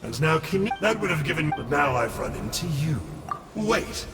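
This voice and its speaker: High-voiced, creaky, slippery schemer. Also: male voice, big pitch swings, moderate pace. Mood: flippant, wheedling. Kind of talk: video game voice acting. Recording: loud game music under the voice.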